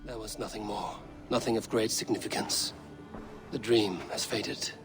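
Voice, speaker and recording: An actor playing a stern, fearless Viking raider in a video game cutscene, speaking in a solemn, blunt manner. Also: male voice, on the low side, steady tone, normal speed, close to an everyday voice. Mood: solemn, haunted. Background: music.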